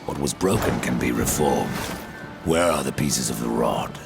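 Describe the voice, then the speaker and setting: A grim undead rider from a video game, with an extremely low, gravel-filled rasp. He is dry and sardonic, and his terse lines swing widely in pitch over loud music. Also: lively intonation, moderate pace, full character voice. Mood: terse, probing. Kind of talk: video game character acting.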